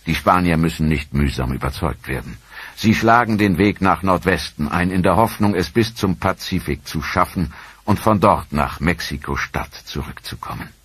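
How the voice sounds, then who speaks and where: Tiefer, rauer Erzähler einer Geschichtsdoku. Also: male voice, low voice, slightly rough, no room sound. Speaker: documentary narrator. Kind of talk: scripted historical documentary narration.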